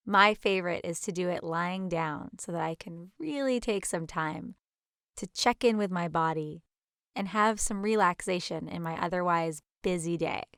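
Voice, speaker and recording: A woman leading a guided meditation as instructor, with a gentle, soothing, unhurried manner. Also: on the low side, smooth, dry recording, studio quality.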